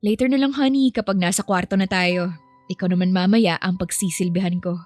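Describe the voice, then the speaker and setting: A woman's voice: on the low side, fairly even intonation, a little fast, a little mellow, soft background music, close to an everyday voice. A woman voicing a feisty, affectionate young wife and mother in an audio story, emphatic and playfully scolding.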